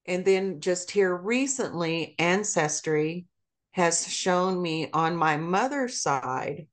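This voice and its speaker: A woman's voice: on the low side, animated, takes it slowly. A middle-aged woman from South Korea, a podcast guest speaking earnestly and carefully, spelling out names and details. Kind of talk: conversational interview.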